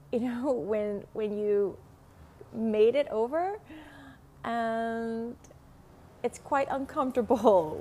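A middle-aged woman with a Vietnamese accent, whose first language is Vietnamese, hosting a spiritual podcast at home in a slow, halting way with many fillers and soft laughs. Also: a little high. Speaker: podcast host, spiritual teacher. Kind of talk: casual spoken podcast monologue. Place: home room in a city, window open, passing traffic. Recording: faint traffic noise from open window.

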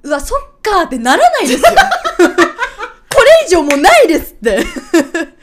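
High, lively woman podcaster over background noise. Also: brisk. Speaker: podcast co-host. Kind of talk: casual teasing banter. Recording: clearly audible background noise.

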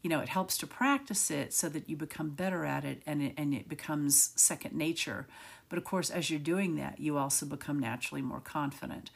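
Woman, middle-aged, podcast host, gravelly fry, raspy, fast, a little manic. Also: low-pitched. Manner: rapid, a little manic delivery. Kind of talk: solo podcast talk.

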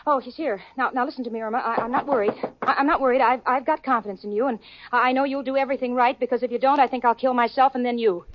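A woman's voice: on the high side, steady tone, racing, a little hiss or hum, lightly acted. An actress playing a sensible young woman who gets flustered and stammers, in a 1940s radio sitcom. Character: level-headed young woman roommate, easily flustered. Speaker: lead character and narrator. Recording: dull old radio broadcast with audience.

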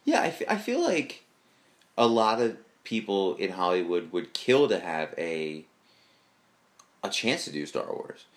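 Deep-voiced man in casual fan chat. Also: very animated, measured pace. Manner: rambling, enthusiastic fan talk with fillers. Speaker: podcast co-host.

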